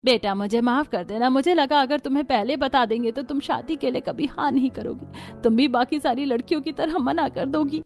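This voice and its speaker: A woman's voice: high voice, fairly quick, a little mellow, soft background music. A woman voicing a tender, apologetic middle-aged mother with a soothing, sentimental delivery.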